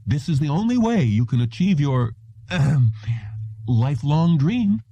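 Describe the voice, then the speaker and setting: A man plays a smooth, patronizing salesman from an audiobook, pitched up high and swinging widely in tone. He is polite and reassuring, pressing his pitch in a mellow, closely recorded voice. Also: big pitch swings, a little slow, no room sound, heavily acted. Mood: patient, condescending. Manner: insistent, reassuring, formally polite. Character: smooth, patronizing salesman of implanted memories, male. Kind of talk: audiobook character voice.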